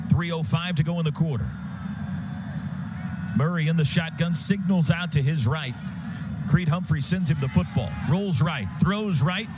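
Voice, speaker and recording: American guy doing radio football play by play, highish voice, short punchy phrases, really noisy stadium behind him. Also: normal speed, long pauses. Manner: clipped phrases, excited calls as plays break open. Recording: loud stadium noise behind broadcast booth. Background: loud outdoor stadium ambience.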